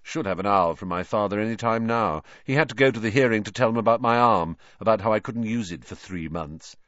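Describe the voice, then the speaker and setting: A man's voice: on the low side, fairly quick, fairly bright tone, no echo, muffled recording, natural voice. A man voicing a spiteful, arrogant schoolboy bully with a boastful sneer in an audiobook. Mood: gloating.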